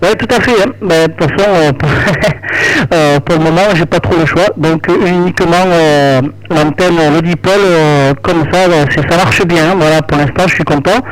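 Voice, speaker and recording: Higher-voiced man chatting over ham radio. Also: moderate pace, low-quality recording. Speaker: amateur radio operator. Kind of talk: casual ham radio conversation.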